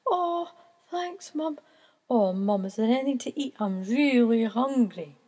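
A hungry little boy gull chick whines and pleads in a high, swooping voice, as a Scottish woman puts him on. He draws his words out slowly in a broad Scottish accent, a storybook creature through and through. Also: expressive, warm tone. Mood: pleading. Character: young male seagull chick, whiny and hungry.

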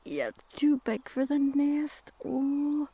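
A woman's voice: sits high, very animated, takes it slowly, mellow, voice pushed up, exaggerated voice. A woman voicing a friendly, helpful woodpecker with a Scottish accent.

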